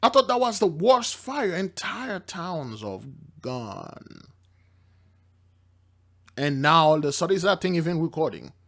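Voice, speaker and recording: A man's voice: on the high side, sing-song, a little slow, creaky, fairly bright tone. Emphatic man giving informal religious commentary. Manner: emphatic, repeats himself. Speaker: commentator reacting to news clips and viewer comments.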